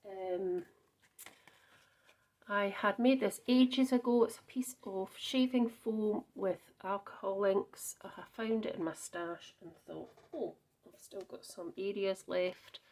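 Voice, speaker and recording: A woman's voice: medium pitch, steady tone, slow pace, darkish tone. A Scottish woman chatting through a card-making demonstration, thinking aloud with frequent ums and small self-corrections. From Scotland. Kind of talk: casual crafting demonstration.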